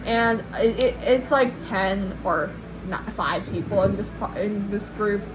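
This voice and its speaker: A woman's voice: medium pitch, a little slow, noisy background. A woman casually retelling a legend to a co-host in a rambling, informal and playful way. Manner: informal, rambling, playfully acting out sounds and voices. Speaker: podcast host and storyteller.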